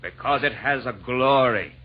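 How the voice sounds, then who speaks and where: An actor playing a jolly, eloquent Santa Claus, declaiming rhymed verse grandly in 1940s radio drama style. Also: male voice, medium pitch, unhurried, breathy edge. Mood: proud, admonishing. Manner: grand, declamatory rhyming delivery. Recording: dull vintage 1940s radio recording.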